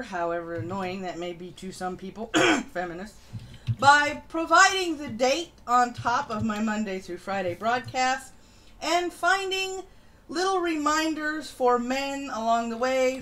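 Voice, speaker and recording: A woman's voice: a little high, fairly bright tone. A woman hosting a morning livestream, chatting casually and greeting listeners, with dry sarcasm, self-mockery and casual swearing. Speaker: host of a morning livestream news show.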